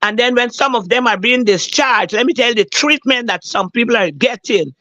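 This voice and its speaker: Hoarse woman on a dull line. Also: fairly high pitch, animated, brisk, fairly bright tone, no room sound. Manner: earnest, repeats herself for emphasis. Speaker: caller on a talk show. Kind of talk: emotional personal account.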